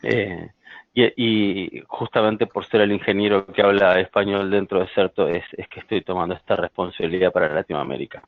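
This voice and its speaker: A man with an Argentine accent presenting a product in a webinar, speaking in a measured way with frequent hesitations. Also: low voice, fairly even intonation, no room sound. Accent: Argentine Spanish. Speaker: sales engineer presenting a product. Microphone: computer mic over an online call. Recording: tinny phone-like line, dry.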